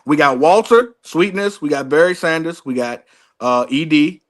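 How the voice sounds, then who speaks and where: A man's voice: on the high side, expressive, normal speed. A man in his thirties co-hosting a casual sports debate, emphatic and repeating his points for stress. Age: 30s. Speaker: podcast co-host.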